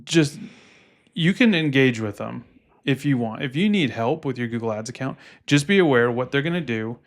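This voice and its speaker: A man with a medium-pitched voice co-hosts a podcast. He talks in a casual, explanatory way, walking listeners through practical advice as a specialist would. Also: expressive, normal speed. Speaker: podcast co-host and Google Ads specialist. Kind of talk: casual advisory podcast talk.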